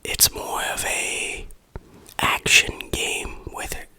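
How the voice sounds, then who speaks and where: A reviewer speaks entirely in a breathy ASMR whisper. The delivery is slow and measured, with a bright, sharp edge to the unvoiced sound. The phrasing is informal, like someone talking through their opinions. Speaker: game reviewer and ASMR host.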